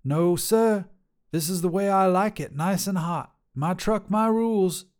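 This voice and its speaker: A man's voice: high voice, unhurried, a little mellow, smooth, voice pushed up. A man voicing a wiry, mocking woman trucker who turns out to be a demon, with a drawling, teasing, folksy manner. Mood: sly teasing.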